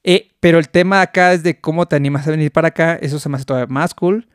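A man's voice: a little high, fast, clear, resonant tone, no room sound, studio quality. A man with a Mexican accent hosting a podcast interview in casual chat, laughing often and restarting his sentences. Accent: Mexican Spanish. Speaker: podcast host.

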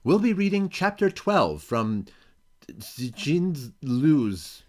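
A man's voice: fairly high pitch, very animated, slow. A man co-hosting a podcast as a dramatic reader, delivering scripted comedic banter in a theatrical announcer manner.

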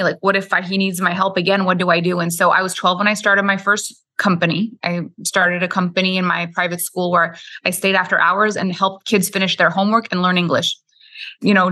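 Woman from Quebec, native French speaker, lowish pitch, bright forceful tone, candid storytelling. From Quebec, Canada. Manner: candid, flowing storytelling with frequent "like" and "you know". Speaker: podcast guest. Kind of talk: storytelling interview.

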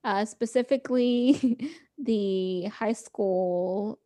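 A woman's voice: medium pitch, very slow, warm tone. A young woman co-hosting a podcast, chatting casually and laughing often as she quotes people. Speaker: podcast co-host. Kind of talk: casual chat.

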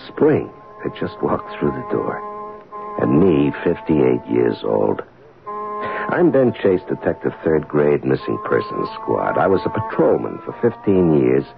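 A man's voice: extremely low pitch, gravelly, narrow, tinny audio, exaggerated voice. An actor playing a folksy, fatherly police detective in his fifties, hesitant and conversational, in an old-time radio drama. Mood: wistful, wry. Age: 50s. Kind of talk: old-time radio drama acting with first-person narration. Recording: band-limited, hissy old radio recording.

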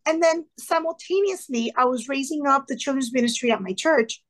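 A woman's voice: high voice, fairly quick. A woman in her thirties, a former teacher of Cuban family background, earnestly telling her story in an interview and acting out the people she quotes. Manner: earnest, animated, quoting others in lively asides. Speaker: guest, former classroom teacher. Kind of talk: conversational storytelling.

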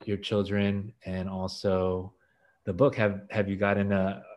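A man interviewing a guest, halting and searching for words. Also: sits low, level tone, a little mellow, highs cut off.

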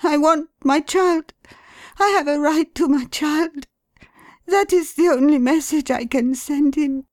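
A woman voicing a distraught young wife, pleading in a strained, high voice. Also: a little mellow, dry recording, studio quality. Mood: anguished. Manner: intense, emphatic, slow.

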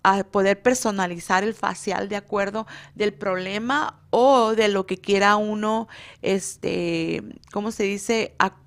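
A woman, a beauty school instructor whose first language is Spanish, talking on a radio interview and often pausing to search for words. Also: mid-range voice, steady tone. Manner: hesitant, many fillers, searches for words.

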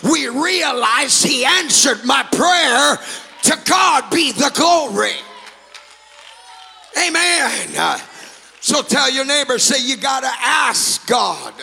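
A man whose first language is Spanish preaches in a high, piercingly bright voice, slow and gravelly, shouting and half-singing his lines. His voice rings through a reverberant church hall with music playing softly underneath. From Latin America. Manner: shouting, sing-song preaching with call-outs to the congregation. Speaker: pastor. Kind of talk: sermon. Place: church sanctuary.

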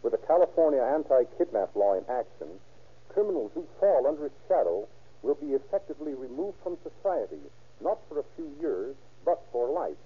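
Male police captain, formal scripted radio address, lively swings in pitch, husky tone, muffled old recording. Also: a little fast. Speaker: police captain introducing a crime story.

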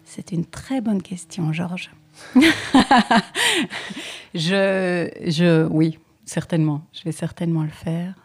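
A woman singer talks through her work in a relaxed interview. Her voice is low and mellow, and she speaks in a thoughtful, hesitant way, often pausing with small fillers as she looks for the right words. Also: slightly rough. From Belgium. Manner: thoughtful, hesitant, many pauses and "euh".

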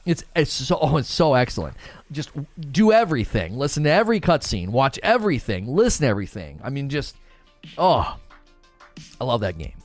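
A man's voice: medium pitch, wildly swooping pitch, fairly quick, no echo. A man talking casually as the host of a daily livestream gaming talk show. He rambles eagerly, repeats phrases and answers viewer chat, with a mid-range voice over faint background music. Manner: enthusiastic, rambling, repeats himself.

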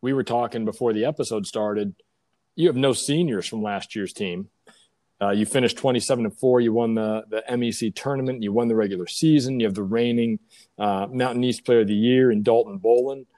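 A man's voice: medium pitch, a little fast, dark tone, no echo. A man hosting a podcast interview, conversational and enthusiastic, wordy and often doubling back on his phrases.

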